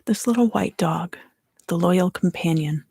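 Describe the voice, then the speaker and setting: A woman giving an ASMR tarot reading in a soft, unhurried whisper. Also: lowish voice, husky, dry recording, studio quality. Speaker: tarot reader and ASMR host.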